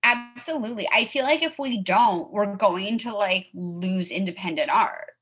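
Chatty woman in her 30s, says like a lot, really bright crisp voice. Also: mid-range voice. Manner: chatty, peppered with "like", quick asides and laughs. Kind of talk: casual chat.

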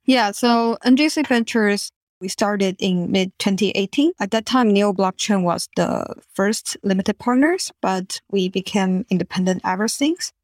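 A woman, answering interview questions in an earnest, explanatory way with slightly halting phrasing over a remote call. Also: medium pitch, no room sound, clean studio sound. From Taiwan. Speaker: podcast guest.

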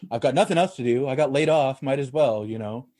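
A man hosting a casual podcast in a relaxed, easygoing way, cursing now and then. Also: medium pitch, brisk.

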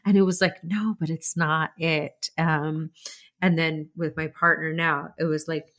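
A woman's voice: low-pitched, little pitch movement, unhurried, fairly bright tone. A woman hosting a podcast, affirming her guest and sharing her own experience in an easy, open way. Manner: affirming, breathy exclamations, laughs easily. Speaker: podcast host. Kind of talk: warm conversational interview.

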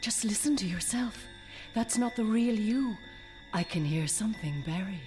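An actress playing a mysterious captive woman who pleads, flatters and coaxes seductively in an audio drama. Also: mid-range voice, normal speed, over music, natural voice. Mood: flattering, seductive.

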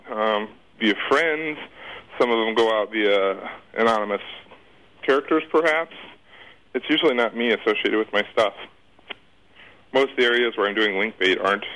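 Bright, forceful low-voiced man in casual interview. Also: low-quality recording. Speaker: interview guest, internet marketer.

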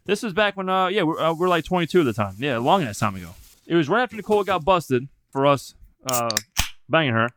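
A man's voice: on the high side, very animated, fast talker, noisy background. A man co-hosting a podcast, chatting casually and crudely, telling blunt, profanity-laced stories. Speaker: podcast co-host.

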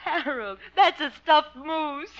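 A woman's voice: sits high, slow pace, heavy background noise. An actress playing a polite, genteel lady out on a date, in 1950s radio sitcom style. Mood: concerned, amused. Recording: muffled old radio, orchestra music behind.

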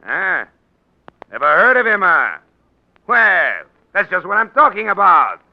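An actor playing a tough, bullying big-city political boss in 1940s radio style, gruff and sardonic, talking in gangster slang. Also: male voice, high voice, very animated, a little slow, very noisy, full character voice. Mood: brisk, scheming. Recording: dull 1940s radio recording.

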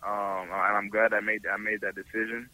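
Young guy, college football player in an interview, really bright punchy voice, kinda low, keeps restarting his words. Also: little pitch movement, no echo, low-quality recording. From Toronto, Canada. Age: early 20s. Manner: halting, repeats words and restarts often. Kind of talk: casual interview answers.

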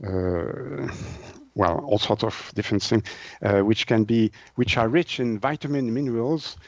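A man from Switzerland, a native French speaker, explaining things as an interview guest in halting English, searching for words. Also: lowish voice, no room sound, dull audio. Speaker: naturopath and author, interview guest.

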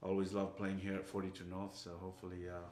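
A singer-songwriter from Fiji, a man, talking softly and casually between songs in a brewery barrel house. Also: completely flat delivery, fast. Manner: soft-spoken, low-key. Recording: roomy brewery hall echo.

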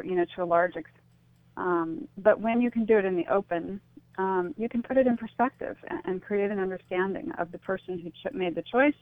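A middle-aged American woman speaking as a radio interview guest, reflective and halting as she restarts her thoughts. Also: on the low side, no echo. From United States. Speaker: interview guest, suicide-loss advocate. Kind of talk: earnest radio interview conversation. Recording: muffled phone-like radio line.

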